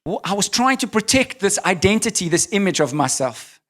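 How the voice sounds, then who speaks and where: A South African man preaching in English, pausing for an interpreter, telling candid, self-deprecating stories. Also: sits high, big pitch swings, a bit bright. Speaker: pastor. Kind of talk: sermon with live interpreter.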